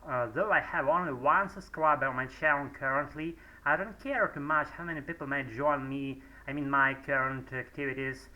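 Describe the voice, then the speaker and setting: A man's voice: fairly high pitch, moderate pace, few pauses, bright, forceful tone, lo-fi sound. A man whose first language is Russian, rambling through an unscripted English monologue at home, hesitant, full of fillers and restarts. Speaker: English learner vlogger. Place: home room, indoors.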